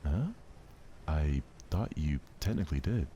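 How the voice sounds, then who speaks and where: Man as teenage boy protagonist, very low voice, hesitant, casual, visual novel dialogue. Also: animated, measured pace, dark tone, dull audio, slight background noise. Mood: casual, unsure. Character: ordinary, awkward teenage schoolboy protagonist.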